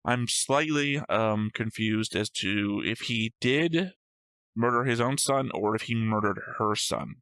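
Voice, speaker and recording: A man's voice: lowish voice, unhurried, fairly bright tone, smooth, no echo. A man co-hosting a reaction show, talking through his notes with a dry, analytical manner. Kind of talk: casual episode discussion reading own notes.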